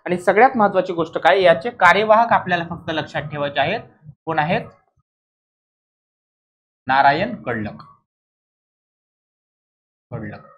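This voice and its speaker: A man's voice: a little high, animated, unhurried, pauses often, fairly bright tone, lo-fi sound. A man teaching an exam revision class in a didactic way, asking questions, answering them himself and repeating key words.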